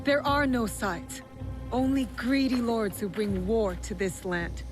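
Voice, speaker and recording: A woman's voice: fairly high pitch, expressive, a little slow, music in the background. A woman playing a stern, principled young shinobi in a video game cutscene, earnest and reproachful.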